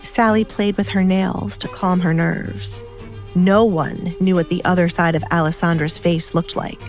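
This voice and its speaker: A woman's voice: on the low side, steady tone, unhurried, darkish tone. A woman reading scripted story narration as an audiobook narrator. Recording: music behind the voice.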